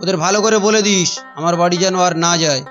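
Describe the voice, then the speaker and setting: A kindly village man in a cartoon, acted by a man, with a high voice. He chides theatrically, with faint music underneath. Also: quick pace, a bit bright.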